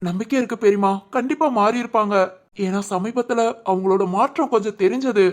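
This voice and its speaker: A woman's voice: mid-range voice, quick pace, close to an everyday voice. A woman playing an anxious, respectful young son in a family audio drama, earnest and pleading. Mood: worried.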